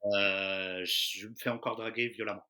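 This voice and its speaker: A man's voice: on the low side, steady tone, measured pace, pushed and bright. A man in his thirties from the Lyon region of France, answering interview questions in an easygoing, casual way with frequent hesitations. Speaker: interview guest, expatriate in Japan. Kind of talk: casual conversation.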